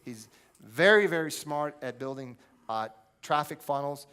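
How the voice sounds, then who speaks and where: A man's voice: fairly high pitch, lively intonation, unhurried, bright, forceful tone, clear, resonant tone. A man hosting a live event, introducing speakers in an informal way from the stage.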